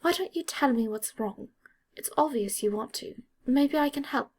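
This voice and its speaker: A woman reading the part of an earnest, protective young wizard boy in an audiobook, with a measured, firm manner. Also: sits high, darkish tone, husky, pitched above the performer's own voice. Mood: gently coaxing.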